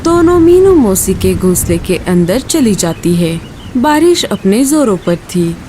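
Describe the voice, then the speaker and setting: A woman's voice: medium pitch, big pitch swings, unhurried, noisy background. A woman storyteller reading a scripted children's story.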